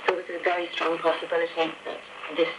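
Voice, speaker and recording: A woman's voice: fairly low pitch, completely flat delivery, fast. A middle-aged woman recounting her personal story as a radio interview guest, heard over shortwave. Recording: noisy, crackly shortwave radio reception.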